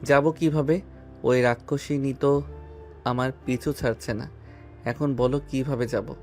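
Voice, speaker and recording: A man's voice: mid-range voice, fairly even intonation, measured pace, a little mellow, faint music behind, lightly acted. A man playing a frightened, put-upon young man in an audio love-story drama, complaining and pleading. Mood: dismayed.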